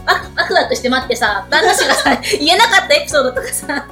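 A woman's voice: high voice, very animated, quick pace, bright, forceful tone, faint music behind. A woman guest in a casual group radio chat, playful and amused.

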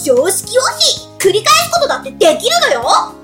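Woman acting a high, pouting, clingy big sister. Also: huge pitch swings, brisk, heavily acted. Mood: indignant. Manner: pouting, theatrical. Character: clingy, bossy big sister doting on brothers. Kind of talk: anime-style voice drama promo skit. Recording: music behind the voice.

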